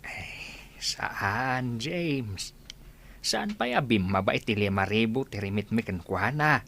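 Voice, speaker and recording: A lively, bright-voiced boss. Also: male voice, mid-range voice, takes it slowly, creaky, full character voice. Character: older shop owner, employer, blunt.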